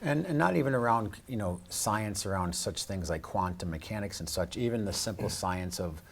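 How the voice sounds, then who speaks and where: A man's voice: fairly low pitch, expressive, normal speed, creaky, slight background noise. A man talking off the cuff in a radio discussion, building long, winding sentences.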